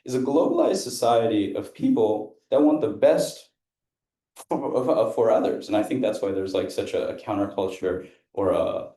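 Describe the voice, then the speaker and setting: A man from India speaks for a Buddhist teacher before an audience, rambling and restarting often. His voice sits a little low and sounds warm and relaxed. The room adds a noticeable echo. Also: moderate pace. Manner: loose, rambling, many fillers and restarts. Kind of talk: interpreting a dharma talk, casual.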